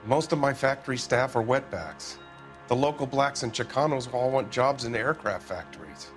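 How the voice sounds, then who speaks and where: A man's voice: mid-range voice, moderate pace, close to an everyday voice. A man playing a blustering factory boss. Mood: evasive. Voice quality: blustering, defensive. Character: pompous, evasive middle-aged male factory boss. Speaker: soup factory owner. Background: music score.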